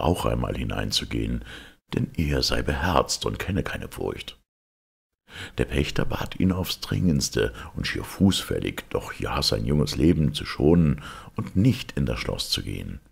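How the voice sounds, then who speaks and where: A man narrating a fairy tale from a script in an expressive, dramatic way, with a gravelly, husky voice. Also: a bass voice.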